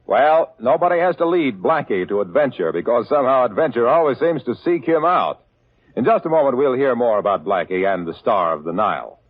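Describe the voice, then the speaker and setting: A man's voice: mid-range voice, lively intonation, brisk, slight background noise. A man announcing in 1940s radio style, with emphatic, folksy salesman patter. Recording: very lo-fi old radio recording.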